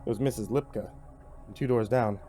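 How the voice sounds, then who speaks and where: A man giving a theatrical, expressive first-person narration of a horror story, acting out the dialogue. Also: mid-range voice, moderate pace, faint music behind.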